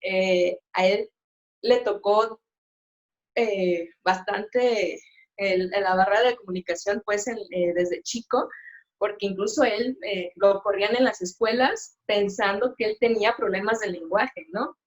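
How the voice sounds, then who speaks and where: A middle-aged woman speaks on a video call, pausing often with fillers and restarting her sentences. Her voice sits at a middle pitch for a woman. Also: dull audio. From Mexico. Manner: hesitant, many fillers and restarts. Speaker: sign language interpreter, guest. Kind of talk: live-stream interview, casual chat.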